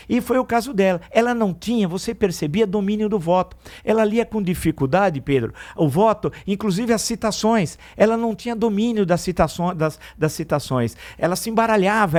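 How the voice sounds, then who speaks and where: A man's voice: high voice, darkish tone. Indignant male radio commentator, Brazilian accent. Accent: Brazilian Portuguese. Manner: indignant, emphatic, digressive. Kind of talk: opinion commentary on morning radio news. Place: radio studio.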